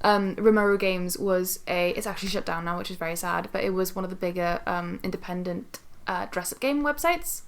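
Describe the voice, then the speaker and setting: A woman's voice: on the low side, fairly quick, hardly any pauses, clear, resonant tone. A young woman co-hosting a casual podcast chat, speaking emphatically with plenty of swearing. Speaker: podcast co-host.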